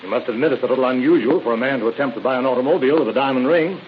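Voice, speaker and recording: Man playing businesslike detective, brisk delivery, probing, courteous, old radio drama, dull hissy sound. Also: mid-range voice, lightly acted. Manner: measured, probing, polite but firm. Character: patient, businesslike male police inspector questioning people.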